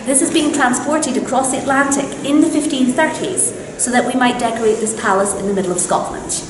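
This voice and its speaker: A woman's voice: high voice, a little fast, a crowd in the background. A woman acting as a costumed palace guide, speaking in a formal, theatrical period manner. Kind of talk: in-character historical interpretation. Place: large stone palace room. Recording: echoey hall with background noise.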